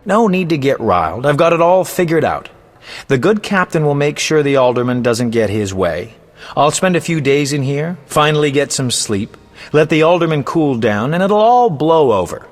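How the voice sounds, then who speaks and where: A man's voice: on the high side, big pitch swings, normal speed, big-room sound. A man playing a vain, roguish poet and bard in a video game, speaking in a theatrical, florid, self-important way. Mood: breezy, sly. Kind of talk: video game voice acting, storytelling.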